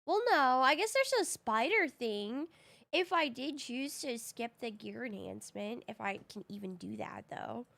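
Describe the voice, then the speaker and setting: A high, slightly groggy woman's voice you would hear on a laid-back game stream, chatting informally with viewers soon after waking. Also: animated, measured pace, gravelly, a bit bright. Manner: slangy, informal. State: groggy voice, recently woken. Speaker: live streamer. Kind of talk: casual gaming stream chat.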